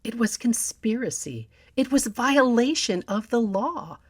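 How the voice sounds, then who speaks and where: A woman narrating a story as an audiobook reader, in a theatrical, deliberate and expressive manner. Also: a little high, slightly rough. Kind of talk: dramatic scripted story narration.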